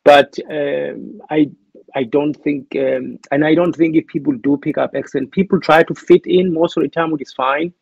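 A man's voice: fairly high pitch, steady tone, normal speed, creaky, darkish tone, slightly rough, dry recording, highs cut off. A man with a South African accent, speaking as an interview guest in a thoughtful, hesitant way with many restarts. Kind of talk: reflective interview talk.

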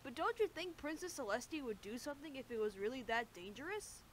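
A woman's voice: high voice, animated, fast, poor audio. A woman voicing a cheeky little male baby dragon in a cartoon, childlike and matter-of-fact. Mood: doubtful. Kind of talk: animated fan-series dialogue.

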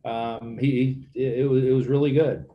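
A man in his sixties, a baseball manager answering questions at a press conference, halting and repeating words, speaking into a desk microphone. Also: medium pitch, level tone, lo-fi sound. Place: press conference room with backdrop.

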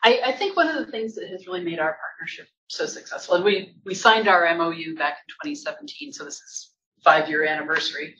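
Bright-voiced event host. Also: male voice, a little high, lively intonation, fast, a little hiss or hum. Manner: conversational with repeated restarts. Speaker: host of industry association event. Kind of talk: event panel hosting. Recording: dull lo-fi event audio.

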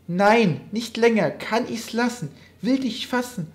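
A woman's voice: fairly high pitch, animated, unhurried. A woman voicing a young male sorcerer's apprentice, cocky and easily panicked, in a dramatic poetry recitation. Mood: alarmed. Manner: theatrical, exclamatory.

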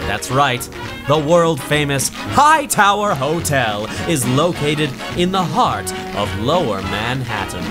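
A man as an old-time radio announcer, reading a sponsor ad in a smooth salesy way. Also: medium pitch. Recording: music underneath.

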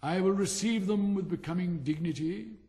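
A man's voice: high voice, moderate pace, warm tone, voice pushed up, close to an everyday voice. Man doing a vain haughty rocket, full of his own dignity, storybook voice. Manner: haughty, self-important, affected dignity. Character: pompous vain male firework rocket.

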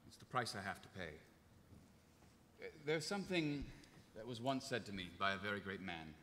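Man playing an earnest, animated action hero. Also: on the low side, normal speed. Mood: solemn. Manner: solemn, old-fashioned, formal. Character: earnest, square-jawed wholesome male action hero. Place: large indoor convention panel room. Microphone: table microphone. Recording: noisy echoey convention hall panel.

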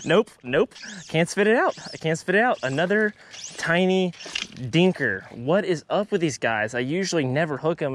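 A young man chatting to viewers while fishing from a small boat on a pond, excitable and laughing often. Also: fairly high pitch. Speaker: fishing vlogger. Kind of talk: casual running commentary while fishing. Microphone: head-mounted action camera.

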